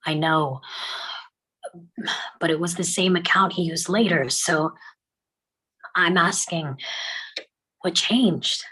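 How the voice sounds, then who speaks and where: A woman playing a hurt mother in a drama table read, pressing insistently for answers in a breathy voice. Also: fairly low pitch, takes it slowly, long pauses, fairly bright tone, exaggerated voice, rough recording.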